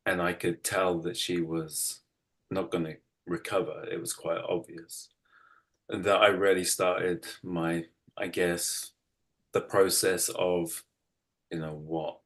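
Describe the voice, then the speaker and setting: A middle-aged man with an English accent, telling his own story reflectively in a halting, thoughtful way, often circling back to rephrase. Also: low-pitched. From United Kingdom. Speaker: interview guest, writer. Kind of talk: reflective personal storytelling in conversation.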